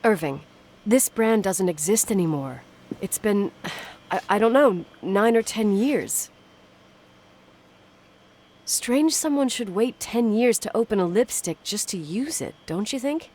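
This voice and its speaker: Young female game heroine, mid pitch, inquisitive, uneasy, stubborn, earnest natural delivery. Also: expressive, measured pace, darkish tone. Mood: puzzled, insistent. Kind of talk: naturalistic video game dialogue.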